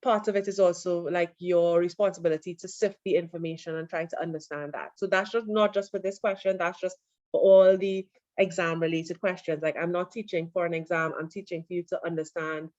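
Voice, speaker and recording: A woman lecturer giving an online class, relaxed and full of fillers as she answers questions. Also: on the low side, a little fast, dry recording. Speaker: university lecturer. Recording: dull lo-fi online audio.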